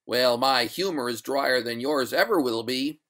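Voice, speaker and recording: A man reading the part of an exasperated gentleman householder in a storybook, speaking with formal politeness and dry sarcasm. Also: medium pitch, unhurried, natural voice. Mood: dryly sarcastic. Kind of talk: storybook character voice.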